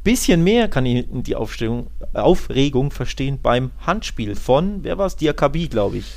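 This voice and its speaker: A man's voice: mid-range voice, sing-song, moderate pace, creaky. A man co-hosting a podcast, giving his opinions in a casual, colloquial sports chat. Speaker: football podcast co-host.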